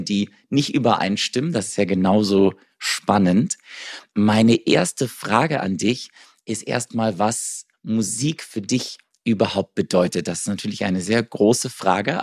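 A man hosting a podcast, introducing his guest and asking questions in a calm, measured way. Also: on the low side, no echo, studio quality. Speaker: podcast host. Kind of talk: podcast interview.

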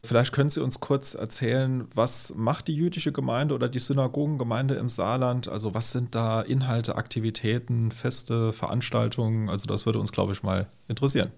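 Gravelly male interviewer. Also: mid-range voice, steady tone, fairly quick, hardly any pauses, a little mellow. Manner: polite, conversational questions. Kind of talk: podcast interview.

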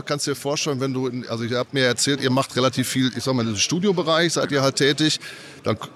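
Man with a cold explaining at a fair. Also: mid-range voice. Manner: loose, filler-heavy explaining. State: has a cold. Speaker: audio company representative. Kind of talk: casual trade-fair interview. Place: trade fair hall.

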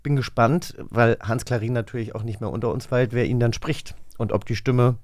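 A man's voice: mid-range voice, steady tone, fairly quick, darkish tone, dry recording. A man hosting a casual interview chat in a studio, talking in an enthusiastic manner. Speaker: podcast host.

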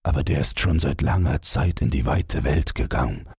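A man's voice: very deep voice, moderate pace, gravelly, darkish tone, husky, no echo, studio quality, full character voice. A man voicing an old peasant farmer who is weary and stern.

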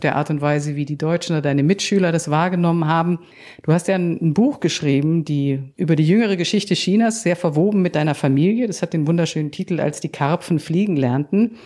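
A woman editor interviewing a colleague, asking measured questions with small restarts. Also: low-pitched, mellow. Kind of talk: podcast interview.

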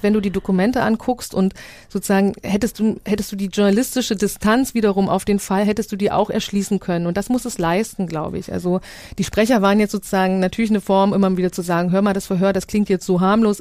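A woman talks quickly in a mellow voice. She explains things off the cuff in a discussion, reflective and fond of rhetorical questions. The sound is close and dry. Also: mid-range voice, no echo. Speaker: guest, immersive journalism project maker. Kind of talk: panel-style discussion, spontaneous explaining.

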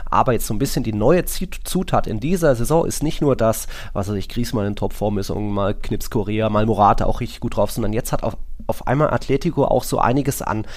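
A man's voice: lowish voice, a little fast. A man talking football casually as a podcast host, in an informal, digressive, opinionated way.

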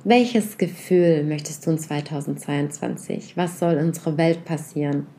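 A woman leading a guided reflection meditation, speaking softly and unhurriedly as she puts a series of gentle questions directly to the listener. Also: deep voice, normal speed, a little hiss or hum.

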